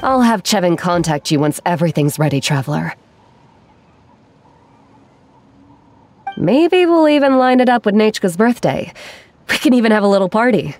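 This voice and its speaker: A woman voicing a kindly, modest craftswoman in a video game, with a gentle, composed manner. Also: mid-range voice, very animated, fairly quick, faint music behind, lightly acted.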